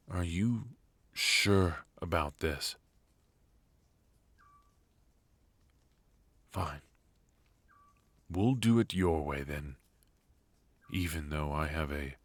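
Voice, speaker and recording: A man playing a brooding, protective knight in an intimate ASMR roleplay, speaking in a halting, earnest, hushed way. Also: low-pitched, no room sound, heavily acted. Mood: reluctant. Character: brooding, protective male knight devoted to an outlawed royal. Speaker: voice actor.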